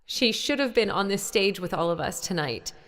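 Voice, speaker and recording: Solemn, mid-pitched stage performer played by a woman. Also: animated, a bit bright, close to an everyday voice. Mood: solemn, grieving. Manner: formal, heartfelt, measured. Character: solemn female stage performer giving a tribute. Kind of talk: dramatized stage speech.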